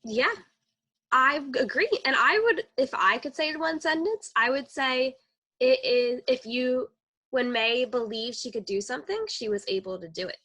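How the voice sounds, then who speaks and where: Young lady teacher w a really high voice chatting thru a kids lesson on video call. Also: lively intonation, normal speed, bright, forceful tone. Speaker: teacher, co-host. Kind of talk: children's lesson conversation. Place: indoor room on a video call.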